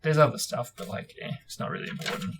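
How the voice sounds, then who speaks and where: A man's voice: fast talker, background noise. A man hosting a book discussion, reading aloud and chatting casually in informal, loosely phrased speech. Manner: hesitant, informal phrasing with frequent "like" and "sort of". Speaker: book discussion host and reader.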